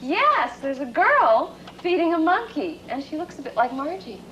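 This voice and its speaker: A woman's voice: high-pitched, very animated, moderate pace, a bit bright. A woman talking informally and coaxingly, as if to a child or an animal, in short patient phrases. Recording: muffled lo-fi field audio with noise.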